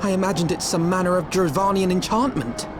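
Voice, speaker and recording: A man's voice: high-pitched, a little fast, music in the background, lightly acted. A man playing an earnest teenage boy scholar in a fantasy game, speaking formal, archaic lines very articulately. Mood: shaken, earnest. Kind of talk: dramatic fantasy game acting, archaic speech.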